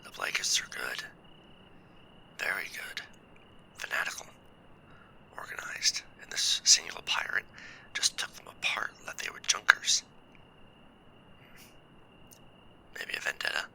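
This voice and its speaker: A very deep-voiced soldier acted by a man, close to a whisper and sharply edged. He is a proud, bitter survivor of a lost unit in a sci-fi audio drama, speaking with solemn formality. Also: animated, normal speed, gravelly, pauses often, exaggerated voice, rough recording. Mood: hushed, wary. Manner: hushed, solemn, formal warrior speech. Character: stranded clan mech warrior, proud, bitter, grieving survivor. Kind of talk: ASMR sci-fi audio roleplay.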